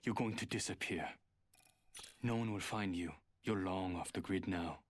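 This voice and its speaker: A man's voice: deep voice, moderate pace, dry recording, lightly acted. A man voicing a cold, threatening fighter in a video game. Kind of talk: video game character voice lines.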